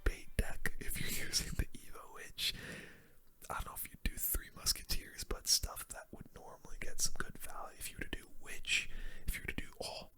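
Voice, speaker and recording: A person whispering casual, slangy ASMR game commentary in a breathy, unvoiced voice close to the microphone. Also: moderate pace, sharp tone. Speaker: gaming video host.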